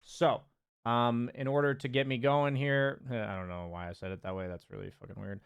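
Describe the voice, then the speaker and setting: A man's voice: mid-range voice, fairly even intonation, brisk, gravelly, clear, resonant tone, muffled recording. Chatty, laid-back male game coach. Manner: loose and chatty, many fillers, casual swearing. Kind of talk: casual one-on-one coaching. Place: streaming from home.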